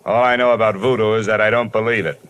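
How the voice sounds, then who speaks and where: A man's voice: fairly low pitch, fairly even intonation, fast talker, a bit bright, clear, resonant tone, lightly acted. A man playing a wry, hard-boiled investigator in an old radio detective drama, with clipped, sardonic delivery. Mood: challenging. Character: wry, hard-boiled male insurance investigator narrating his case. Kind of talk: old-time radio detective narration and dialogue.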